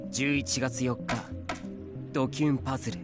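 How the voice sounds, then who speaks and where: A male actor playing an earnest, insistent teenage schoolboy sleuth in a Japanese visual novel, speaking deliberately. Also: mid-range voice, steady tone, over music, natural voice. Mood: grave.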